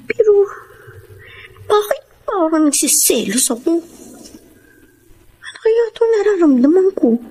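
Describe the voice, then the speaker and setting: A woman playing a lovestruck, expressive young student daughter in a Filipino radio drama. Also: high-pitched, breathy edge, heavily acted.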